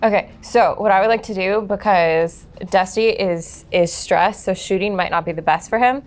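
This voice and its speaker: A woman's voice: medium pitch, normal speed. A woman playing a tabletop role-playing game, talking casually and informally with lots of "like". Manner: informal, frequent "like". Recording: music behind the voice. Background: music.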